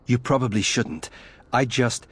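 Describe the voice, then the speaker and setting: A man's voice: medium pitch, a little slow, slightly rough. A man playing a guarded, earnest disgraced young nobleman in a video game, serious and dry. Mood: earnest pleading. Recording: faint music behind the voice.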